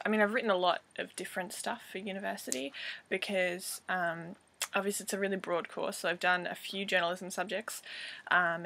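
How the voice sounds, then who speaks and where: A young Australian woman in her early twenties talks straight into a webcam from her bedroom. Her voice has a bright, clear ring, set at a middling pitch. She rambles in a self-deprecating way, with plenty of ums along the way. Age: 20s. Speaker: vlogger. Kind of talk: casual self-introduction. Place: bedroom with poster-covered walls, indoors. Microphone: webcam at desk.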